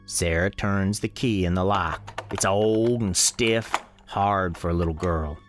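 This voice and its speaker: A man playing a grave, folksy storekeeper, narrating an eerie radio drama in a measured, ominous way. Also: sits low, soft background music. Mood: tense, grave.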